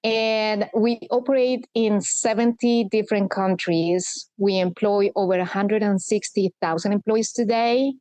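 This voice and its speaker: A woman from Colombia, in her forties, talks as a podcast guest. Her pitch sits in the middle for a woman. She explains things in an earnest, measured way. The recording is dry and close, with almost no room sound. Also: fairly even intonation, dark tone, no room sound. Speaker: guest, corporate sustainability executive. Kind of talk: podcast interview.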